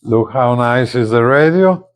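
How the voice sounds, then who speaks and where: A man presenting a casual unboxing video, speaking haltingly and often repeating his words. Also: medium pitch, lively intonation, smooth. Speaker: gadget reviewer, video host.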